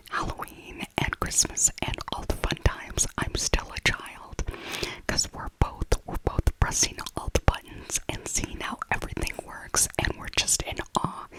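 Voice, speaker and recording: Whispering middle-aged woman, ASMR. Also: rough recording. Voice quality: soft, breathy whisper. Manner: chatty, repeats phrases for emphasis. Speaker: ASMR video creator. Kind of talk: ASMR whispered voiceover, casual rambling narration.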